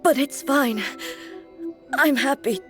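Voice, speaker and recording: A woman playing a solemn, regal warrior ruler in an anime video game, speaking in a formal, measured, grave manner. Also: sits high, a bit bright. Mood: strained, resolute. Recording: game music behind the voice.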